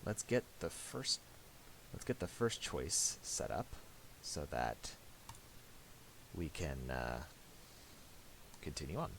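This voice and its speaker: A man talking through a software tutorial casually, thinking aloud in a hesitant, piecemeal way. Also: very deep voice, gravelly. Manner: hesitant, halting, piecemeal delivery. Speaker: tutorial presenter. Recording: steady hiss and clicking noise.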